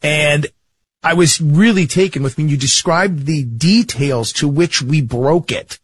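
Host: man with a husky voice, long setup questions, slows for emphasis. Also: a little high. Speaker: podcast host. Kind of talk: interview questions.

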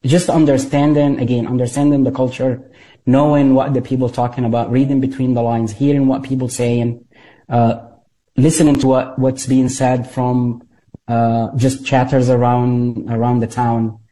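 Halting man, mellow voice. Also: mid-range voice, level tone, low-quality recording. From Egypt. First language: Arabic. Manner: halting, repeats words, many fillers. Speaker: guest, former intelligence operative. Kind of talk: interview storytelling.

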